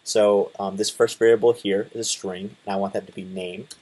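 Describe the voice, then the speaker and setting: A man in his twenties casually talking through a coding tutorial, relaxed, with lots of fillers and restarts. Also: lowish voice, normal speed. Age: 20s. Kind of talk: casual screen-share tutorial.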